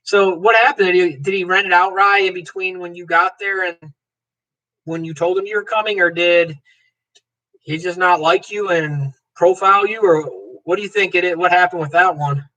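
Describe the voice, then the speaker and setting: Bright-voiced man chatting on a livestream. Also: high voice, fairly even intonation, normal speed, rough recording. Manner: rambling, joking, chats to viewers by name. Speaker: livestream host. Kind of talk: casual livestream chat reading comments.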